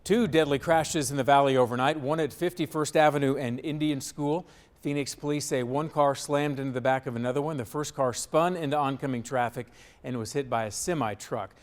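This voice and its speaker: A male American news anchor delivers scripted bulletins. His voice sits at an average pitch, with a standard broadcast reading style.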